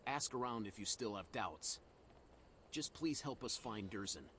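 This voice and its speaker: A man's voice: lowish voice, lively intonation, measured pace, background noise. A synthetic man's voice playing a solemn, portentous dwarf storyteller giving quests in a fantasy game. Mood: solemn, earnest.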